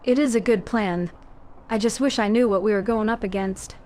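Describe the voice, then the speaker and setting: A woman's voice: mid-range voice, normal speed, natural voice. A woman voicing a composed young female warrior hero in a fan-voiced video game. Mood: calm. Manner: calm, direct, questioning.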